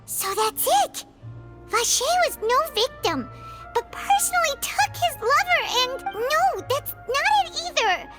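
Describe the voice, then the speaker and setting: A woman voicing a tiny, excitable, naive fairy companion in a video game, with a squeaky childlike voice and a dramatic, exclamatory manner. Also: unhurried, loud music behind the voice, heavily acted. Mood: puzzled. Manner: exclamatory, refers to herself in third person. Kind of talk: animated video game dialogue.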